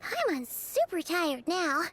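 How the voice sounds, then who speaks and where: A woman voicing a tiny, childlike fairy companion in a video game, squeaky, chatty and curious. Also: huge pitch swings, slow pace, a bit bright, full character voice. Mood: relieved, chirpy. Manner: refers to herself in third person, chatty.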